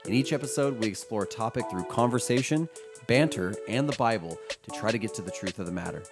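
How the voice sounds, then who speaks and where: A man's voice: on the low side, animated, quick pace, over music. An American man speaking casually as a podcast co-host, in a chatty, bantering style.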